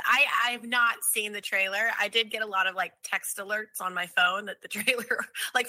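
Very bright, playful woman. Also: mid-range voice, no echo. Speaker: podcast co-host. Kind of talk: casual chat.

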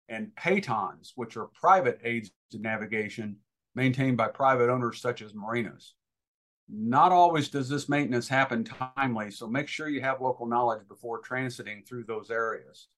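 A man's voice: medium pitch, a bit bright. A man giving informal instruction as a guest expert, talking in an emphatic, advisory way.

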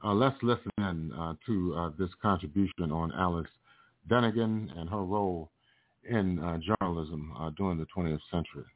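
A man with a very low voice hosts a live radio broadcast. He talks haltingly and deliberately, pausing often to hesitate. The sound is thin and phone-like, with a roomy echo. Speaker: radio host. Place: radio studio.

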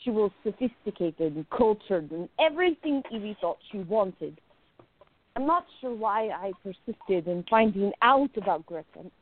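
A woman playing a jealous, besotted young woman confiding in her diary in a radio play, intimate, expressive and musing. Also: mid-range voice. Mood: wistful, jealous. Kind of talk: dramatic first-person diary narration in a radio play. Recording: narrow phone-like lo-fi sound.

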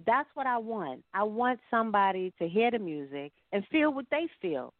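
A woman's voice: mid-range voice, lively intonation, moderate pace, dry recording. A woman chatting casually as an interview guest, speaking emphatically and repeating phrases to drive a point home. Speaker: singer and entrepreneur, interview guest. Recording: thin telephone line.

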